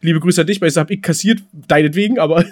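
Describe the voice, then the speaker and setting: A man's voice: fairly high pitch, fast. A man bantering casually as a podcast co-host, often repeating his words and phrases as he goes.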